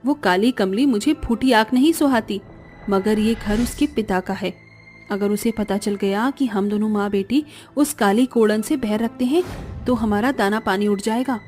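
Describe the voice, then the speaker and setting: A woman voicing a sly, cold stepmother in a cartoon story, speaking in a hushed, calculating way. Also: medium pitch, moderate pace, soft background music, lightly acted. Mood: scheming. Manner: hushed, calculating, two-faced.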